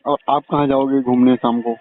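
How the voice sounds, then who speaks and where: A man's voice: medium pitch, level tone, a little fast, a little hiss or hum. A man chatting casually on a phone call in an agreeable manner, with short responses. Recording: muffled narrowband phone line.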